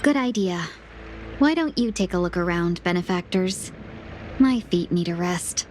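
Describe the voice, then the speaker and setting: A woman's voice: lowish voice, animated, unhurried, a little mellow, a little hiss or hum. A woman playing a curious, easygoing young companion in a fantasy game, with music behind her. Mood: curious, puzzled. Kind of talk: scripted game dialogue.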